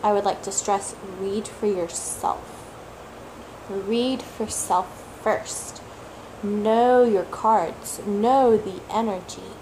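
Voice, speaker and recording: A woman for whom English is a third language, talking slowly and reflectively as a tarot reader, repeating words for emphasis and sometimes searching for them. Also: mid-range voice, fairly even intonation, warm tone, a little hiss or hum, rough recording. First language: not English; English is her third language. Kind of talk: slow reflective monologue.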